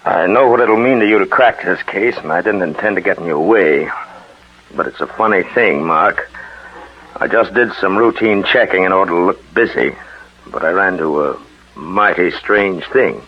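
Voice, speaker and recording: An actor playing a shrewd, plain-spoken police detective in a 1940s radio drama, measured and frank. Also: male voice, low-pitched, slightly rough. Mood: measured, knowing. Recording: muffled old 1940s radio recording.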